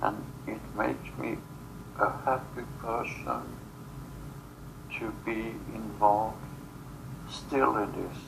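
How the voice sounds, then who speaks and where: A man who is not a native English speaker, speaking in a halting, fragmented way with long pauses as he channels in a guided trance session. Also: lowish voice, vocal fry, background noise.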